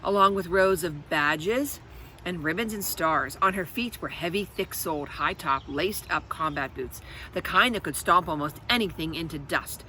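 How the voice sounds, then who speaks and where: Bright-voiced woman reading a storybook aloud. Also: on the low side, moderate pace, slight background noise. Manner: expressive, explanatory, addresses children directly. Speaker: read-aloud host for children. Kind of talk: storybook read-aloud with asides to young listeners.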